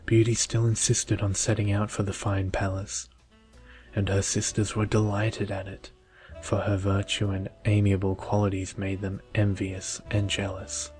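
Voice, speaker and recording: A man narrates a bedtime story in soft ASMR style. His voice is low and very even, measured and soothing, with faint music underneath.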